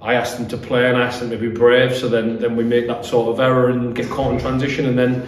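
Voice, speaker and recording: A man's voice: mid-range voice, level tone, fast. A British man in his thirties gives a post-match interview as a football manager. His phrasing is hesitant, with repeated words. The room is strongly echoey and he sits away from the mic. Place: small bare-walled room indoors. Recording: echoey room, distant mic, faint noise.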